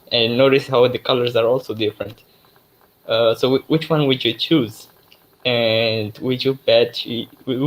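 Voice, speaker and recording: Young man from Mozambique, native Portuguese, mid-pitched voice, loose online tech talk full of fillers. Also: rough recording. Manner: relaxed, many fillers and restarts. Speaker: guest speaker, developer expert.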